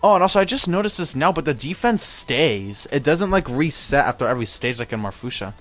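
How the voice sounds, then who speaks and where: A man's voice: a little high, expressive, brisk, fairly bright tone, soft background music. A young man giving rambling, casual commentary while streaming a game at home, his voice worn out from a long session. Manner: chatty, rambling, self-correcting. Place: home room.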